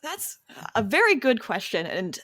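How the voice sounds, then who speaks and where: A woman's voice: fairly high pitch, very animated, normal speed, pushed and bright, no room sound, studio quality. An American woman chatting casually in an interview, thinking aloud in a hesitant, self-reflective way. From Delaware County, United States. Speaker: interviewee and interviewer, podcast cast member.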